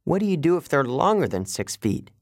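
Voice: medium pitch, sing-song, brisk, no echo, voice pushed up. Someone playing a teen boy hero in an audiobook, wary but acting casual, bluffing, dry sound. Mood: casually bluffing. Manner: feigned casual friendliness. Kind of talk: audiobook character voice.

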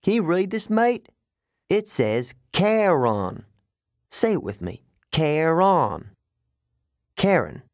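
A man voicing a suave, condescending underworld ferryman with a British accent and a smooth, cold, sardonic drawl in a storybook reading. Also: medium pitch, big pitch swings, a little slow, no echo, clean studio sound, voice pushed up. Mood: condescending, irritated.

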